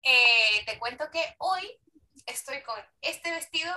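Higher-voiced young Peruvian woman. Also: expressive, slow, pushed and bright. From Peru. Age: late twenties. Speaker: interview guest. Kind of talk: candid personal storytelling.

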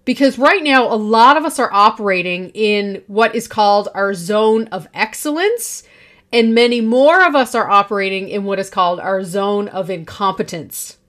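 A woman's voice: medium pitch, normal speed, a bit bright. A woman in her forties hosting a solo podcast, talking in a confident, projecting and emphatic way. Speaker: podcast host.

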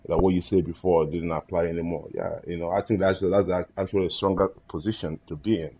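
A man's voice: sits low, steady tone, fast, slightly rough, lo-fi sound. A man speaking earnestly and reflectively in a casual roundtable talk, often checking in with "right?". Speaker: roundtable guest.

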